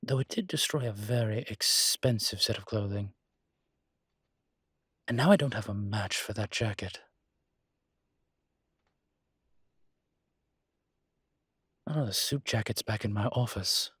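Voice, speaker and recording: A man playing a suave ancient demon professor in an intimate roleplay audio drama, with a husky, breathy low voice and a dry, teasing, urbane manner. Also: normal speed, fairly bright tone, no room sound. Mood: rueful, dry.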